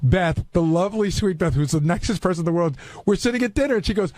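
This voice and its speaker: A man's voice: high voice, lively intonation, quick pace, a little mellow, dry recording. A man in casual on-air discussion, earnest and explanatory, circling back over his phrases as he tells things.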